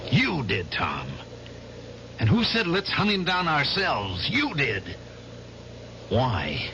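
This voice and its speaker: A man's voice: fairly high pitch, huge pitch swings, slow, vocal fry, full character voice. An actor playing a determined male war veteran who presses for a confession, in 1970s radio drama style. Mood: accusing. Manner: insistent, interrogating. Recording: dull old radio broadcast with hiss.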